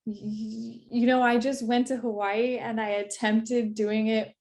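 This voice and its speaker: Mellow-voiced female host, gushing and warm in tone. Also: on the high side, level tone, a little slow. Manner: effusive, repeats words. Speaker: interview host, art school founder. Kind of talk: casual interview.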